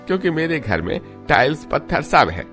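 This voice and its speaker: A man's voice: on the high side, big pitch swings, normal speed, fairly bright tone, faint music behind. A man playing a generous, hospitable wealthy village merchant in a cartoon story, speaking formally and courteously. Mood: hospitable, concerned. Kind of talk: Hindi cartoon story acting.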